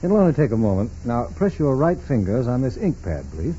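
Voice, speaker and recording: An actor playing a calm, probing FBI agent in a 1940s radio drama, questioning in a businesslike, matter-of-fact way. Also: male voice, mid-range voice, a little fast, close to an everyday voice. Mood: calm, pointed. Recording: muffled, noisy 1940s radio recording.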